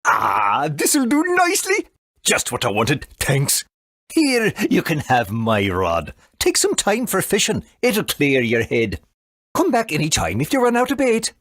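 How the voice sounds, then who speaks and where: A woman voicing a laid-back, grumbling little forest creature in a video game, raspy and casual. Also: sits low, wildly swooping pitch, unhurried, dry recording, studio quality, heavily acted. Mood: easygoing.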